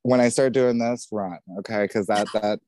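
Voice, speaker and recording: A man from New Jersey with a New Jersey accent, a podcast guest telling his story candidly, outspoken and animated, swearing freely. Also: on the low side, normal speed. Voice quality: says he has a feminine voice.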